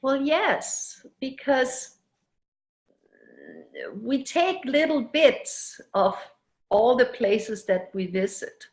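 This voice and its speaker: A woman from Denmark who grew up in Venezuela, speaking English with an accent as an interview guest, animated and laughing often. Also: high voice, extremely slow, long pauses, echoey room, poor audio. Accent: foreign accent. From Denmark, raised in Venezuela. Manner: animated storytelling, laughs often, many restarts. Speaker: entrepreneur guest. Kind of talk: casual interview chat.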